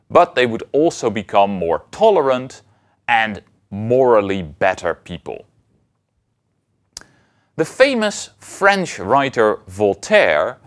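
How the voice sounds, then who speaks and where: Man lecturing with very lively intonation. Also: mid-range voice. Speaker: university philosophy lecturer. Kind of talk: scripted educational lecture to camera.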